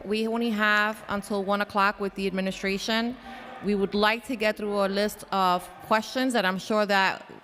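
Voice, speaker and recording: A woman's voice: medium pitch, a bit bright. A woman chairing a public hearing in a large council chamber, her voice a little scratchy, talking casually with restarts and fillers. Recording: hall reverb, faint room noise.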